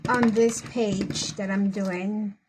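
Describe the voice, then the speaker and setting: Slow, chatty Colombian-accented woman. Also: medium pitch, steady tone, noisy background. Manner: chatty, meandering, frequent restarts. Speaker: coloring vlogger. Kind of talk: casual chat while coloring.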